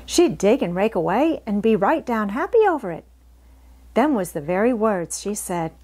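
A woman's voice: medium pitch, sing-song, normal speed, a little mellow. Storybook role: woman as good-hearted, talkative young maid, folksy country dialect. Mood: chatty. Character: chatty, good-hearted young Yorkshire housemaid.

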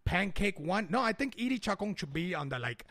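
A man hosting a crude, comedic radio talk show, arguing loudly with a profane, blustering manner that slips into slang. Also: high voice, sing-song, brisk.

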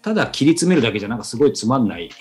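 A man's voice: medium pitch, sing-song, racing. A man chatting casually as a podcast host, explaining things in a rambling way. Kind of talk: casual chat. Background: background music.

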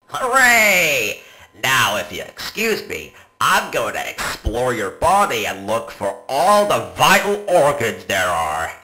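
A man's voice: medium pitch, wildly swooping pitch, takes it slowly, pushed and bright, exaggerated voice, low-quality recording. Man as a creepy, swooping animatronic killer. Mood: gleeful menace. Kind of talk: video game character voice lines.

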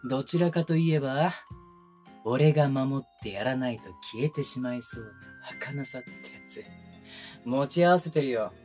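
A man plays a cruel twin detective in a binaural situation drama. He needles his suspect in a drawling, mocking tone at a middling male pitch. The voice sits close to the mic over background music. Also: moderate pace, darkish tone, lightly acted. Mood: teasing, admiring. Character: sadistic male detective, one of twins, taunting a suspect. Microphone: close binaural microphone.

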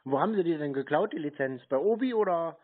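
A man's voice: on the high side, animated, fast, breathy edge. A man on a casual phone call, talking in a terse, mocking and provocative way. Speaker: scambaiter on phone calls. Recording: narrow phone-line sound.

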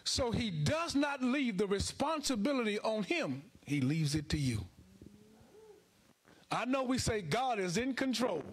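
Husky, high-pitched American male preacher. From Chicago and Houston, United States. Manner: emphatic, colloquial, repeats phrases for effect. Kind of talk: sermon to a congregation. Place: indoor church stage at a lectern. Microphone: handheld microphone.

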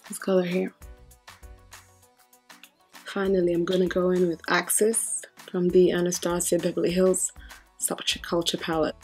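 A hoarse woman with a British accent and a fairly low voice talks through her makeup, breezy and chatty, thinking aloud as she works. She is middle-aged and records close to the camera in her sitting room, with faint music behind her. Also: a little mellow. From Nigeria. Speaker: makeup vlogger. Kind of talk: casual makeup tutorial chat. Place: sitting room in front of a sequin backdrop.

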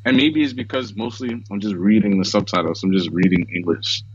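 An American man in his thirties, co-hosting a podcast over a video call, relaxed and chatty with frequent chuckles. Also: on the low side, fairly quick. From United States. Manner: relaxed, chuckles often, many fillers. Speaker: podcast co-host. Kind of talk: casual video-call chat. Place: small room at home, indoors. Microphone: headset on video call. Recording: slightly dull, lo-fi call audio.

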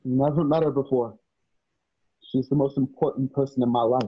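A man playing a shaken, stubbornly loyal protector in a radio drama, talking haltingly. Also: mid-range voice, steady tone, breathy edge, natural voice. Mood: stubborn, devoted. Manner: halting, stammering. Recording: dull lo-fi video call.

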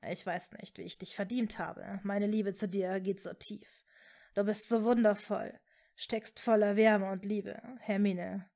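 A woman voicing a man deeply in love, hushed and pleading, in a fantasy audiobook. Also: fairly even intonation, unhurried, close to an everyday voice.

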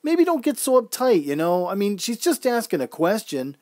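A man's voice: high voice, voice pushed up. A man voicing a laid-back, peacemaking hippie clown with easygoing slang, in a radio-style story. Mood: soothing. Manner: easygoing, calm, drawn-out slang.

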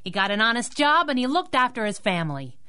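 A feisty young female crimefighter in a vintage-style radio adventure. Her voice is bright and forceful, with big melodic swings. She wisecracks in a streetwise, sassy way. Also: fairly high pitch, big pitch swings, quick pace, clear, resonant tone. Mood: scolding. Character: feisty young masked heroine sidekick, tough and sassy. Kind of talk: 1930s radio drama acting.

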